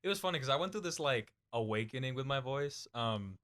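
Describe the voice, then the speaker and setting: Young male co-host, mid-pitched, relaxed and loose, laughs a lot. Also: brisk. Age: young adult. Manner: laid-back, laughs often, swears casually. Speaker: podcast co-host. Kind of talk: casual chat.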